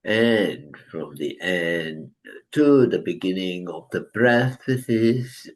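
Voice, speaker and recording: A man leading a guided breathing meditation, giving calm instructions with long pauses. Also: low voice. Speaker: meditation teacher.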